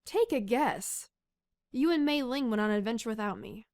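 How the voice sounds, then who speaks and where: A woman's voice: fairly high pitch, animated, moderate pace, darkish tone, no room sound. An actress playing a feisty, impatient, easily jealous young female soldier in an audio drama. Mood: eager, pouting.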